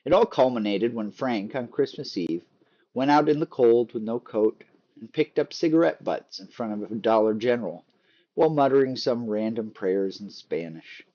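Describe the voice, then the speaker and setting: A man narrating a book in a measured storytelling way, with dry humor. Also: mid-range voice, animated, dark tone, dull audio. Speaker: author reading a book aloud. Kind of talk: scripted narration, book read-aloud.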